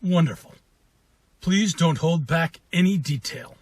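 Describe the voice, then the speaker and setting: An actor playing a magnanimous noble duke in a fantasy radio drama, measured and formal. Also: male voice, a little high, lively intonation, background noise. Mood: gracious. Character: magnanimous noble duke, dignified veteran lord.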